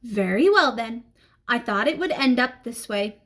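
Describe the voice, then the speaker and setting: A woman's voice: fairly high pitch, lively intonation, a bit bright. A woman as a stern, formal female schoolteacher. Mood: measured, firm. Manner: formal, measured, admonishing.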